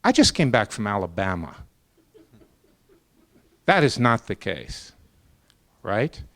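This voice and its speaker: Slow, deliberate man making an argument. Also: on the low side, very animated, a bit bright. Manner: deliberate, measured, breaking thoughts into short phrases. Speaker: professor on a debate panel. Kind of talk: extemporaneous academic argument.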